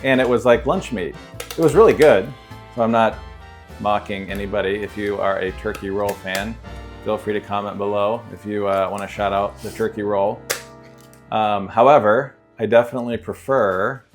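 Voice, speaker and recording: A man's voice: fairly low pitch, fairly even intonation, normal speed, soft background music. A man from Ohio with an American accent, co-hosting a cooking show with casual chat and storytelling. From Ohio, United States.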